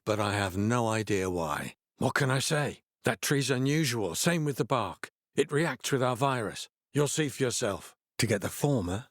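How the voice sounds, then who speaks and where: An actor playing a laid-back, earnest middle-aged hippie healer in a video game, explaining things in an easygoing way. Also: male voice, medium pitch, dry recording, studio quality, natural voice. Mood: calm, resigned. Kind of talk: video game character dialogue.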